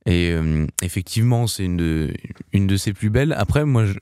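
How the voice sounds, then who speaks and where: A man's voice: deep voice, expressive, moderate pace, dark tone, clean tone, no room sound, studio quality. A young man, a singer, answering in a casual radio interview, hesitant with many restarts and fillers. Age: young adult.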